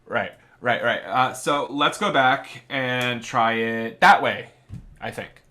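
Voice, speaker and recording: A man's voice: mid-range voice, slow pace, sharp tone. An actor playing a fussy radio director who stammers and restarts as he tries to keep the peace. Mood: placating. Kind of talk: audio drama acting.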